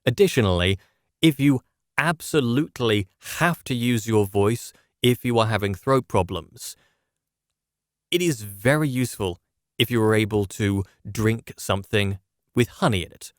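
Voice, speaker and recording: A man presenting scripted instructional advice, speaking in a measured, explanatory way straight to the listener. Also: fairly low pitch, no room sound, studio quality. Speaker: presenter of public speaking lessons.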